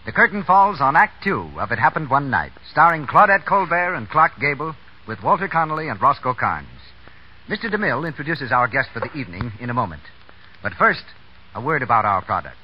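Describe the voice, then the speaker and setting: A man's voice: medium pitch, very animated, fairly quick. An American man working as a 1930s radio announcer, reading a scripted sponsor message. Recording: dull, noisy 1930s radio recording.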